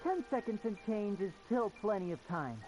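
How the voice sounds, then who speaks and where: An actor playing a young stage magician in a video game, with a theatrical, playful and polite manner. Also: male voice, high voice, big pitch swings, moderate pace. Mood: assured. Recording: game audio with loud music behind.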